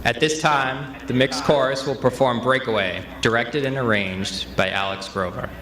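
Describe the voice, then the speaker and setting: A man in his thirties from Massachusetts speaking as a high school principal at a commencement. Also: normal speed, fairly bright tone. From Massachusetts, United States. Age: 30s. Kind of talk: commencement speech. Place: large graduation ceremony venue, amplified speech. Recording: echoey, noisy public address at ceremony.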